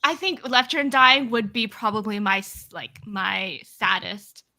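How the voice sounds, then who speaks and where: Bright-voiced, expressive woman chatting casually. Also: medium pitch, a little slow, vocal fry. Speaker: book discussion panelist. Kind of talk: casual book discussion chat. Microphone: online group video call.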